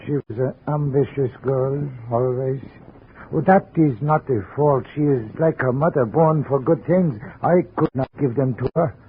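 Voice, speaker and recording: An actor playing an anguished, proud older immigrant father in a 1950s radio drama, with halting, formal phrasing. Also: mid-range voice, close to an everyday voice. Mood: sorrowful, bitter. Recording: noisy, tinny 1950 radio recording.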